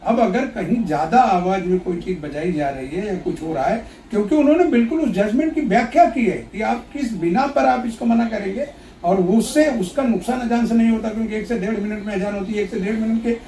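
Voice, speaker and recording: High-pitched man in an echoey room, emphatic. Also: animated, fairly quick, warm tone. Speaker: opposition party politician giving an interview. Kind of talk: spoken political interview, argumentative explanation. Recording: echoey room, distant mic, background noise.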